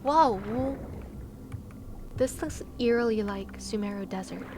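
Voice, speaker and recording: A woman's voice: on the high side, unhurried, background noise. A woman livestreaming a game, chatting casually in a playful, teasing way with exclamations of wonder. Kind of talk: casual livestream chat over gameplay. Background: game music.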